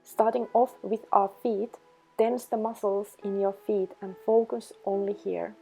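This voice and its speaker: Mellow, creaky-voiced woman guiding meditation. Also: medium pitch, fairly even intonation, poor audio. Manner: slow, instructional, measured phrasing. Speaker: meditation guide. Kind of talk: guided meditation. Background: background music.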